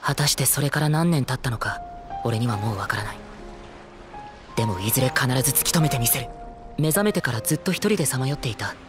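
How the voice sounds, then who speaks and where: An actor playing a young, determined, brooding traveler from another world in a Japanese anime game, with a breathy voice. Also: male voice, mid-range voice, lively intonation, fast talker, close to an everyday voice. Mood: solemn, resolute. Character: young male otherworldly traveler, brooding, determined, searching for sister. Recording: music under the voice.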